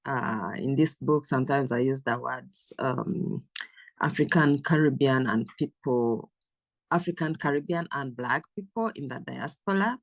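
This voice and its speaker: Woman from uganda talking slow and careful, lots of ums, bit of gravel in her voice, dry close sound. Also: very deep voice, no room sound. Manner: measured, careful delivery with frequent ums. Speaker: professor and author. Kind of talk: prepared self-introduction.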